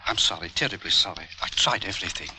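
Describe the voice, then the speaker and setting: An actor playing a sombre, apologetic physician in an old radio drama, speaking in a hushed, consoling manner. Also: male voice, sits low, fast talker, natural voice. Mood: apologetic, grave. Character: sombre, apologetic physician breaking bad news. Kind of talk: 1940s radio drama acting. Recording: noisy old radio recording.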